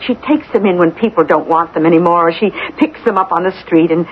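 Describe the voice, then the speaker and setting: An actress playing an obliging, matter-of-fact middle-aged housekeeper in a radio drama, speaking helpfully and a little haltingly. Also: female voice, lowish voice, close to an everyday voice. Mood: helpful, chatty. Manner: helpful, halting when taken aback. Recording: dull, noisy vintage radio recording.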